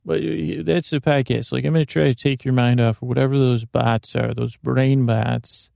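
A man with a heavily gravelly, mellow voice hosts a sleep podcast, wandering through tangents and poking fun at himself. He talks in a low-key murmur full of restarts, recorded on a close, dry mic. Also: steady tone, normal speed, no echo. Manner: meandering and self-deprecating, with frequent restarts. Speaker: podcast host. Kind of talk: rambling sleep podcast monologue.

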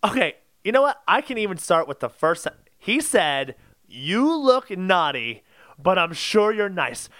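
High-pitched, animated man arguing his case. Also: unhurried. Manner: insistent, argumentative. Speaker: podcast co-host. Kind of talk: casual comedic debate.

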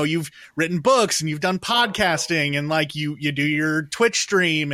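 Male co-host, fairly high voice, dry studio-tight sound, informal interview chat with loosely phrased questions. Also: a bit bright, no echo.

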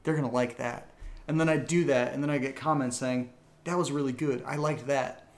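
A man's voice: mid-range voice, fairly even intonation, brisk. A man co-hosting a casual Q&A, reading out listener questions and answering in short remarks. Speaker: audio drama writer, co-host reading listener questions. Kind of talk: casual Q&A chat.

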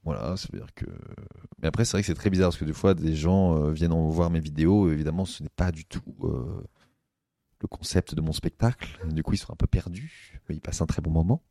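A young man chatting casually on a podcast as a stand-up comedian, telling slangy stories at a quick clip. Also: a bass voice, warm tone, no room sound, clean studio sound. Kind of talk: casual chat.

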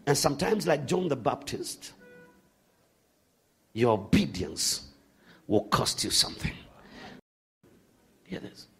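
A man preaching a sermon to a congregation, animated, swinging from shouts to hushed asides. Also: medium pitch, takes it slowly, gravelly, pauses often, husky.

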